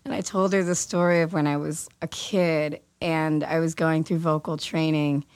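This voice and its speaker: A woman musician with a raspy voice, chatting loosely as a guest with many fillers. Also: deep voice, little pitch movement, moderate pace, dry recording, studio quality. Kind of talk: casual chat.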